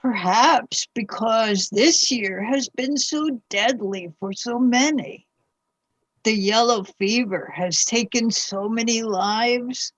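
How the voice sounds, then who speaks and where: A woman playing a genteel, curious wife from the early 1800s. Her voice is fairly high and breathy, and she speaks slowly and formally in radio play style. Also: dry recording. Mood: concerned, prim. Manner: formal, old-fashioned, genteel.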